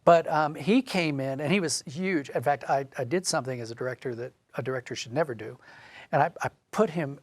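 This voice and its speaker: A man's voice: medium pitch, a little fast, dry recording. Male guest storytelling, dry close sound. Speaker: guest, audio drama writer and director. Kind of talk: conversational interview storytelling.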